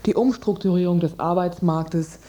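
A woman with a lowish, very dark and relaxed timbre reads a radio news report. She delivers prepared text and translations as a presenter. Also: normal speed. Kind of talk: scripted news reading and voice-over translation.

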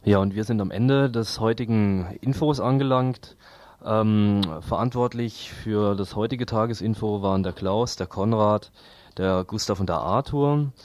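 A man presenting informal community radio announcements in a hesitant, halting way. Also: fairly low pitch, a little mellow.

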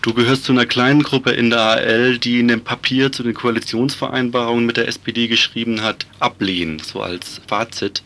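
A man's voice: mid-range voice, fairly even intonation, brisk, few pauses, pushed and bright. A man working as a radio journalist, reading a scripted political report and putting interview questions in a studio.

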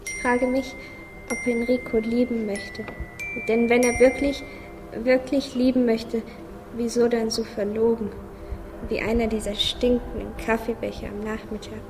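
A woman's voice: on the high side, little pitch movement, a little slow, noisy background. A woman playing a naive, sad little boy musing simply in a radio play. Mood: pensive. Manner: simple childlike musing, repeating phrases. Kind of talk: radio play inner monologue. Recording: long reverb, music clearly behind.

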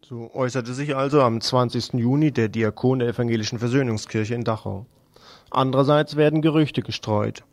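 A man reads a scripted radio news report. His voice sits at an average pitch and carries a noticeable fry. The sound is dry, with hardly any room to it. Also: fairly quick, a little mellow, dry recording.

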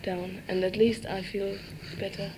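A woman's voice: mid-range voice, level tone, unhurried. A woman being interviewed informally as a peace marcher, searching for words and repeating phrases. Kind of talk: informal spoken interview in English. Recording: noisy location interview with ambient sound.

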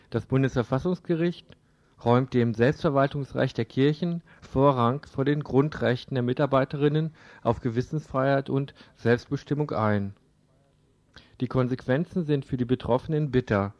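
A man's voice: mid-range voice, darkish tone, dry recording. Male radio reporter, dry, slightly dull sound. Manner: formal, reading from a script. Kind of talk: scripted radio report narration.

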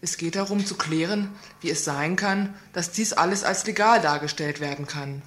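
A woman's voice: lowish voice, normal speed, fairly bright tone. A woman reading a scripted report on a community radio news program, asking pointed rhetorical questions.

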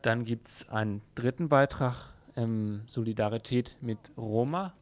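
A man presenting a radio news programme as host, in an informal, conversational and somewhat halting way. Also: medium pitch.

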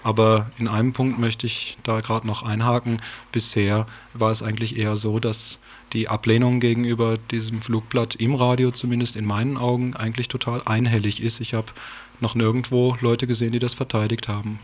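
A man's voice: medium pitch, level tone, brisk. A man hosting a radio discussion, explaining things in unscripted talk.

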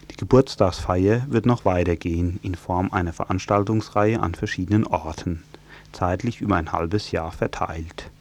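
Deep-voiced male news presenter. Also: darkish tone. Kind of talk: scripted news narration.

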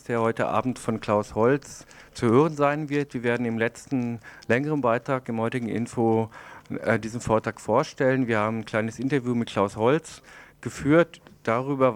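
A man reads the news as a radio presenter in a studio. His voice sits at an average male pitch, and he delivers announcements and reports as scripted items. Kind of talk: news reading and programme announcements.

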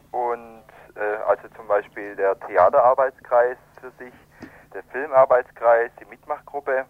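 Guy phoned into a radio interview, tinny line, mid-pitched, keeps hesitating mid sentence. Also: no room sound. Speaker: interview guest, children's radio editor. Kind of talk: spontaneous phone interview answer. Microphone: telephone.

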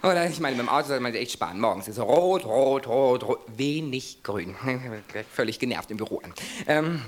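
A man's voice: medium pitch, animated, moderate pace. A man delivering a rambling comic monologue in a breathless, chatty, exaggerated run-on manner. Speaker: cabaret performer.

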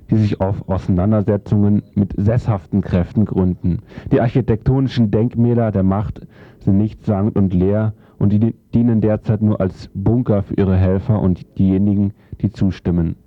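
A man's voice: fairly low pitch, steady tone, moderate pace, dry recording. A man narrating a scripted theoretical text in a formal, declarative manner. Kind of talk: scripted reading of a theoretical essay. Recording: dull lo-fi sound.

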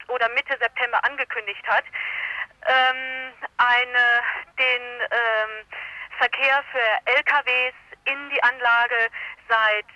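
A woman activist giving a factual report in a live phone interview, often halting and searching for words. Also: fairly high pitch, pushed and bright, no echo. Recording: dull, lo-fi telephone line.

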